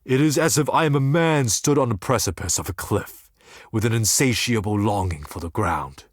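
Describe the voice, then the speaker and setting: An actor playing a blind, scheming and tormented desert seer in a fantasy game, speaking in solemn, formal, theatrical monologue. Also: male voice, on the low side, lively intonation, dry recording, studio quality. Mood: anguished. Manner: solemn, formal, ominous, deliberate theatrical delivery.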